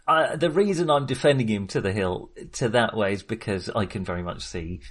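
A man's voice: lowish voice, fairly quick, husky. A man in an enthusiastic, jokey, digressive film discussion, talking casually as a co-host.

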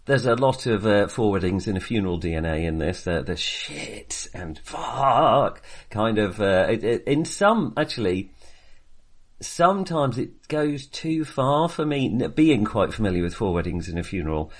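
A man co-hosting a film podcast in casual discussion, speaking in a halting, wry and self-deprecating way. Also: fairly low pitch, lively intonation.

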